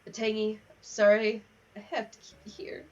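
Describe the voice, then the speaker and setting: A woman's voice: medium pitch, completely flat delivery, moderate pace. A young woman chatting casually on a gaming livestream, rambling from one aside to the next. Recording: distant, slightly muffled mic.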